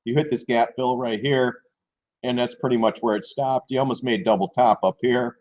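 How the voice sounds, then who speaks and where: A man hosting a live stock trading stream in casual chat, hoarse and losing his voice, clearing his throat often. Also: medium pitch, steady tone, normal speed, a little mellow. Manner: keeps clearing his throat, chatty and informal. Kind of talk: casual live market commentary. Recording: dull lo-fi stream audio.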